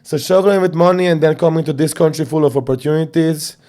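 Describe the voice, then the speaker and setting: A young man from Serbia, a boxing coach, chatting casually as an interview guest in animated storytelling fashion. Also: a little high, fast. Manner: animated storytelling with slang fillers.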